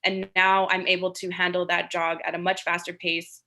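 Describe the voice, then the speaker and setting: Woman, 30, bright crisp voice, confident testimonial. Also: fairly low pitch, flat delivery, moderate pace. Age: 30s. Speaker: track athlete giving a testimonial. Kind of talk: testimonial interview.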